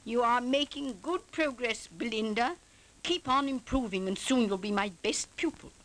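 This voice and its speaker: A woman's voice: a little high, animated, moderate pace, fairly bright tone, rough recording. A woman playing an encouraging schoolteacher voicing her written comments in a vintage documentary film. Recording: vintage 1940s film soundtrack.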